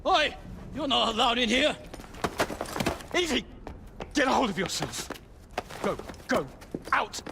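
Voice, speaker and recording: A man's voice: very high voice, sing-song, unhurried, gravelly, long pauses, background noise, exaggerated voice. An actor playing a stern male police inspector, firm and weary, speaking with authority. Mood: alarmed. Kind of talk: video game cutscene acting.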